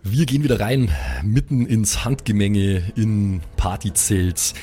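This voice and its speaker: A man's voice: fairly low pitch, measured pace, dark tone, breathy edge, faint music behind. A man hosting as game master, improvising narration and bantering casually.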